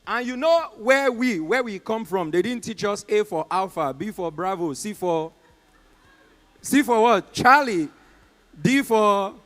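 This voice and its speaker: A Nigerian man with a high voice performs stand-up on stage. He tells a story and slips into short put-on voices for each person in it, with loud, punchy exclamations. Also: lively intonation. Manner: acts out callers and agents in quick put-on voices, loud exclamations. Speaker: stand-up comedian. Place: comedy club stage.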